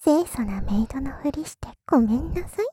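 A woman playing a coy, secretly lewd maid in an intimate adult ASMR roleplay, teasing her master in a breathy voice that often drops to a whisper. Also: high-pitched, expressive, brisk, dark tone, no echo, studio quality. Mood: mock apologetic, sultry.

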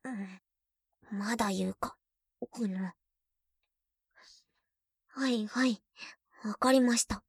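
A young woman playing a teasing, shy girlfriend who is junior to the listener, in an ASMR roleplay, talking playfully and dropping into soft whispers. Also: medium pitch, takes it slowly, long pauses, breathy edge, no echo, clean studio sound.

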